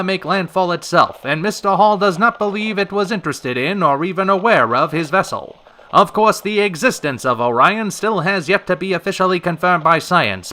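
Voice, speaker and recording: A man's voice: high-pitched, pushed and bright. A man playing a showy, genial old-time radio show host with a theatrical, emphatic announcer's delivery.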